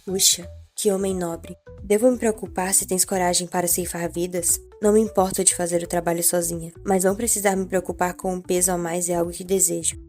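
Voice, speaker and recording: A small barbarian warrior woman played by an actress talks briskly in a fairly low voice. She is cool, blunt and indifferent, with formal audio-drama lines over faint music. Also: flat delivery, darkish tone, close to an everyday voice. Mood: cool sarcasm. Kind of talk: scripted audio drama acting.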